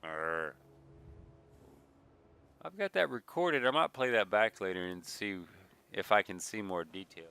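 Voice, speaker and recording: A man giving casual, rambling let's play commentary, thinking aloud at an unhurried pace, slightly nasal with a mild sniffle. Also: lowish voice, lively intonation, vocal fry, pushed and bright, slight background noise. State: mild sniffle from a recent cold. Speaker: gaming video creator, player.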